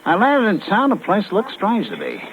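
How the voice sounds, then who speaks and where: A man's voice: high voice, huge pitch swings, moderate pace. An actor playing a slick, wry crook in his thirties in a 1950s radio crime drama, talking tough and evasive. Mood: wry, guarded. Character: slick cocky small-time male crook, mid-thirties, flirting. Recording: muffled 1950s radio recording.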